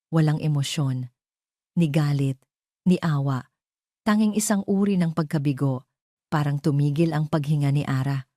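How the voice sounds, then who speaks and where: Low-pitched woman narrating a dramatic story. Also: mellow, no echo, clean studio sound. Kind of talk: scripted narration of romantic audio drama.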